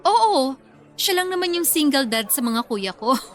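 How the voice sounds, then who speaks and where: A woman playing an outspoken, teasing, quick-tempered bride-to-be in a radio drama. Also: high-pitched, sing-song, fairly bright tone, soft background music, heavily acted. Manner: playful, prodding, easily offended.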